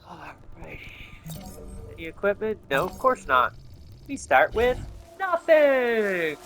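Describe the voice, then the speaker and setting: A man's voice: sits high, very animated, slow. A man giving casual, off-the-cuff gameplay commentary, thinking aloud and muttering to himself as he plays. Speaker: gameplay streamer. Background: faint game music.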